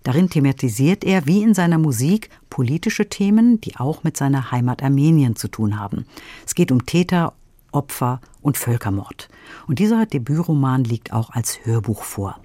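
Lively, mellow woman presenting on radio. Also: very deep voice, moderate pace, no echo. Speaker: radio presenter of book reviews. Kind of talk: scripted moderation with questions.

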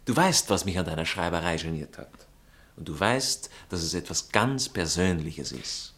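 An actor playing a prim, jealous aristocratic fiancé with an Austrian accent, drawling and indignant in a satirical stage comedy. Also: male voice, deep voice, animated, normal speed, fairly bright tone. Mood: peeved. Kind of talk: stage comedy acting in a radio play manner.

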